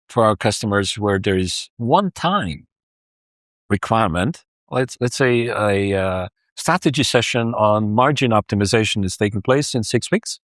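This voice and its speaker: A man's voice: on the low side, fairly bright tone, dry recording. Guy talking data consulting, lots of uhs and restarts, dry close sound. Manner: hesitant, many restarts and fillers. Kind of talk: casual business conversation.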